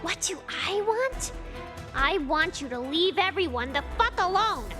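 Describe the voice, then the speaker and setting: A woman's voice: very high-pitched, expressive, unhurried. A woman voicing a hot-tempered, swearing young alien princess in a game cutscene, brash and sarcastic, with music behind her. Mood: furious. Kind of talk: animated game cutscene acting.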